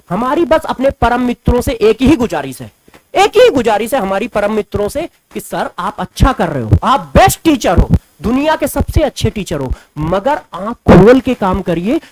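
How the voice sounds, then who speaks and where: A woman educator speaks to her students in a high, lively voice that swoops up and down. She hammers her points home by repeating the same figures over and over. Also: moderate pace, low-quality recording. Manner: emphatic, repeats figures for stress. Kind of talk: impassioned commentary to camera.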